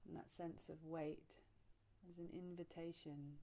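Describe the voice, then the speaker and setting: A woman leading a guided relaxation meditation, soothing and unhurried, speaking in short phrases with long pauses. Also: low voice, level tone, warm tone. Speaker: yoga nidra teacher, meditation guide.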